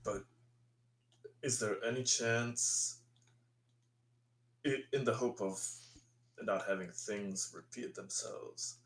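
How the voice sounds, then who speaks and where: A man talks as a player in a tabletop game. His voice sits fairly low and he speaks slowly, feeling his way through each phrase. The sound is very roomy, with plenty of echo around him. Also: gravelly. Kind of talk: casual in-game questioning. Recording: echoey, roomy microphone sound.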